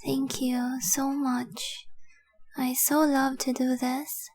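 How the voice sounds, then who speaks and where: Woman doing a besotted dragon-blooded girlfriend, high soft voice, slow n lingering, half whispering, tender but possessive, romance audio roleplay. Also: level tone, faint music behind. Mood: entranced, possessive. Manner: tender, breathy, often dropping to a whisper, lingering on words. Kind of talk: intimate romantic audio roleplay, addressed to the listener.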